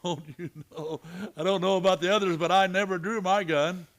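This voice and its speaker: A steady Old West marshal acted by a man in a radio play. His voice sits a little high, and he speaks with dry, terse authority. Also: a little fast. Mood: dry, confident. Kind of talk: Western radio drama acting.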